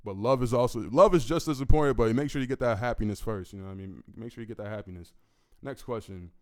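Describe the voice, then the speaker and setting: Man, 20s, deep gravelly commanding voice, resonant and mid-pitched, relaxed profane Q&A delivery. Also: fast. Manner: laid-back, profane, keeps asking "you know what I mean". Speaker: musician answering fan questions. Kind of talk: casual solo Q&A.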